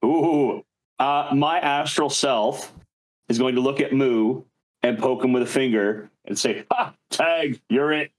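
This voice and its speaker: Mid-pitched man playing a tabletop game. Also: slow, low-quality recording. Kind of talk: casual in-game chat.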